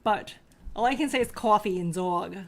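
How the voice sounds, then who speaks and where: Podcast co-host, woman, New York accent, blunt and excitable, swears easily. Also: medium pitch, moderate pace. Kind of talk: casual chat.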